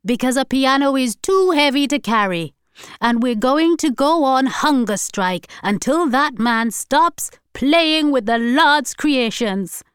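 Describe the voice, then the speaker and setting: A woman's voice: a little high, expressive, slow pace, smooth, no room sound, studio quality, pitched above the performer's own voice. A woman voicing a devout, upset grandmother of eighty-five with a solemn, preachy, emphatic delivery. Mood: excited. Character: devout, upset 85-year-old grandmother, warning dramatically.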